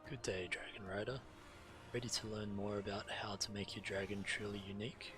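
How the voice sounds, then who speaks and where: A man streaming a game, reading quest text aloud in a measured, deliberate way while he plays. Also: on the low side, fairly even intonation. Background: fantasy game music.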